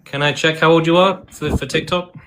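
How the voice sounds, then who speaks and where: A man's voice: on the high side, steady tone, fairly quick, a bit bright, a little hiss or hum, poor audio. Blunt, combative man hosting a livestream. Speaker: livestream debate host. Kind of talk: live-streamed debate and chat reading.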